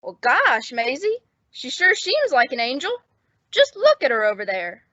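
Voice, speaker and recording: Eager naive bellboy, high, bright and swooping, gee-whiz vintage radio comedy. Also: female voice, huge pitch swings, normal speed, no echo, exaggerated voice. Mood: eager, smitten. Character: naive, girl-struck, squeaky young bellboy. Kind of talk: 1950s radio comedy acting. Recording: dull old-time radio recording.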